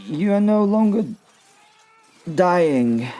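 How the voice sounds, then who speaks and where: Guy doing a battle-worn knight in an audio drama, mellow, slow, stumbles over words, swears a bit. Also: high voice, expressive, faint music behind, heavily acted. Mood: flustered disbelief. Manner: stammering, sweary, bewildered. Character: battle-hardened male medieval knight, gruff loyal comrade, flustered.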